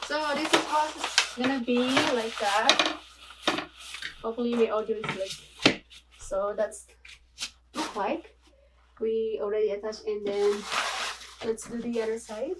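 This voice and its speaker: A woman from the Philippines with a Filipino accent, chatting casually in a home video tutorial, halting and apologetic with many fillers. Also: fairly high pitch, heavy background noise. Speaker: vlogger. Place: small home room.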